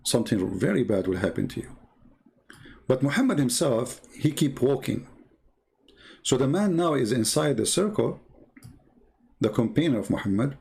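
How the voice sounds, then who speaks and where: A man who is not a native English speaker gives informal, mocking commentary as he retells and reads out stories, in a halting, repetitive way. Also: mid-range voice, sing-song, vocal fry, darkish tone. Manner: sardonic, mocking. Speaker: commentator presenting religious texts. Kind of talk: informal polemical commentary, retelling and reading aloud.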